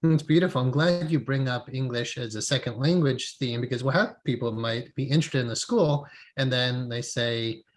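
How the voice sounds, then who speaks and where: A man's voice: medium pitch, normal speed, few pauses. A man hosting an interview, asking supportive, reflective questions over a video call. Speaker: podcast host.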